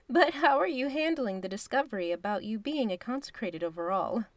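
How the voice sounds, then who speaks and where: A woman's voice: fairly high pitch, lively intonation, no room sound, natural voice. Woman as a composed, knowing divine woman, dryly recorded. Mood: explaining. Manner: measured, formal phrasing. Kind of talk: audio drama dialogue.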